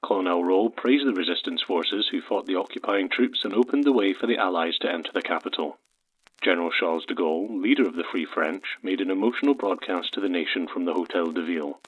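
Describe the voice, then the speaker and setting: Muffled low 1940s radio newsreader. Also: male voice, husky. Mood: solemn, formal. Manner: formal, measured. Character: formal 1940s BBC wartime radio newsreader. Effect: old radio filter. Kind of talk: 1940s radio news bulletin. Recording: muffled old radio broadcast sound.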